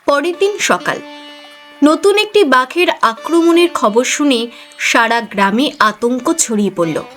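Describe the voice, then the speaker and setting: Slow woman narrator, higher-pitched. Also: expressive, over music. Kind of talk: scripted cartoon story narration.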